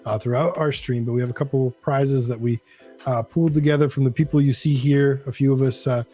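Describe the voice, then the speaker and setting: A man's voice: medium pitch, steady tone, fairly quick, mellow, faint music behind. A man co-hosting a live stream, explaining things in a casual, friendly, informal way.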